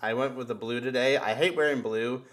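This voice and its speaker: A young man in casual conversation, joking and swearing freely in an animated way. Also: medium pitch, a little fast. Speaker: podcast host. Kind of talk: casual chat. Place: indoor room on a group video call.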